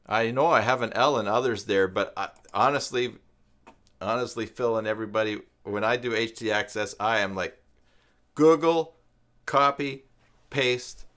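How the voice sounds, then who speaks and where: A man with a Canadian accent hosting a casual live tutorial, thinking aloud in a halting, musing way. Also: on the low side, a bit bright. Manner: halting, musing, reads aloud and mutters to himself. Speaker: live stream host, web tutorial presenter.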